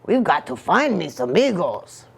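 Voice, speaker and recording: Woman acting small kitty boy, excitable storybook hero, exclamatory, slow drawn-out words, animated pitch. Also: creaky, husky, heavily acted. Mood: insistent. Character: excitable little kitty boy playing an astronaut Chihuahua.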